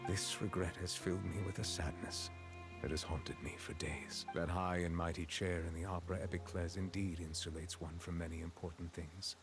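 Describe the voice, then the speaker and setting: A grave and aloof chief justice in a video game. His voice is low, and he speaks with formal, measured courtesy. Loud music runs beneath him. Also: level tone. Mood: regretful.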